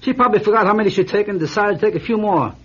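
A man acting a shrewd, laconic police officer in a radio drama, husky and dryly wry. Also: high-pitched, animated, very fast, fairly bright tone, heavily acted. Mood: matter-of-fact. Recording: dull, lo-fi radio recording.

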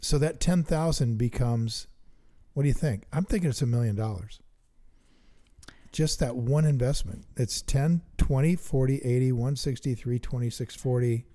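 A middle-aged man co-hosting a chat about investing, eager and thinking out loud as he works sums aloud. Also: mid-range voice, normal speed. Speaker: investing podcast co-host. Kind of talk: casual teaching chat.

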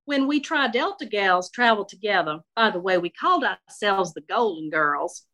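A woman voicing a warm, nosy seventy-seven-year-old Southern lady with a Southern accent, talking with no filter. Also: moderate pace. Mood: gossipy. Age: 70s. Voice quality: voice jumps high when excited. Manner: gushing, outspoken, no filter.

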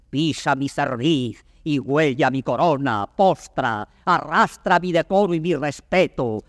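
An actor playing a defeated old king and father, declaiming classical Spanish verse with a Castilian accent in grave, drawn-out phrases. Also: fairly high pitch. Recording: reverberant hall, vintage studio drama record.

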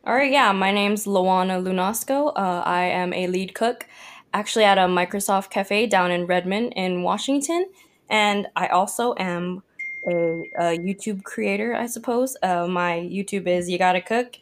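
A woman's voice: mid-range voice, moderate pace. A young woman from Hawaii, a cook, chatting as a podcast guest in a friendly, casual way, full of "like" and "uh". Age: young adult. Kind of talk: casual interview chat.

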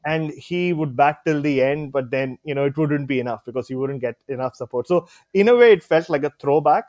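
A man's voice: a little high, a little fast, a little mellow, no room sound. Guy chatting casual on a podcast, loads of uh and you know, dead dry sound. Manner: chatty, joking, many fillers. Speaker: cricket writer guest.

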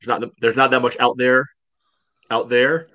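A man's voice: mid-range voice, little pitch movement, moderate pace, sharp tone, no echo, highs cut off. A man giving casual game analysis as a podcast co-host, rambling and hedging as he goes. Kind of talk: casual sports analysis chat.

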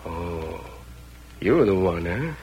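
An actor playing a laconic, unhurried western townsman with a folksy drawl in an old radio drama. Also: low voice, expressive, creaky, muffled recording, heavily acted. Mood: grave, laconic. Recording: slightly noisy old radio recording.

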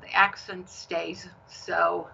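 Slow-spoken Israeli woman, bright voice. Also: fairly low pitch, little pitch movement, creaky, breathy edge, highs cut off. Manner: slow, measured storytelling with occasional restarts. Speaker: novelist guest. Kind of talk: interview conversation.

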